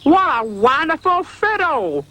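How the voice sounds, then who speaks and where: A man voicing a playful, teasing male cat in a storybook reading, with a slow theatrical drawl. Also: very high-pitched, very animated, noisy background, voice pushed up, heavily acted. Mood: delighted. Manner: slow theatrical drawl, mock Scottish lilt. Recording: music playing behind.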